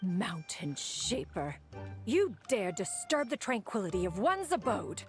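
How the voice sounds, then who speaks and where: A woman's voice: mid-range voice, sing-song, music in the background. A woman voicing a dignified, haughty immortal adeptus in a video game, speaking formally and with archaic poise. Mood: stern. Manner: formal, archaic, measured, calls self "one". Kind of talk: video game character acting.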